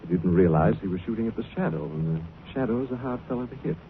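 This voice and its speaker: Actor as fast-talking suave sleuth on old radio. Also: male voice, on the low side, animated, natural voice. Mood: smug, explanatory. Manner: urbane, wry. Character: suave, wry gentleman amateur sleuth, affectionate and unruffled. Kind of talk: 1940s radio drama acting. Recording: tinny, noisy 1940s radio broadcast.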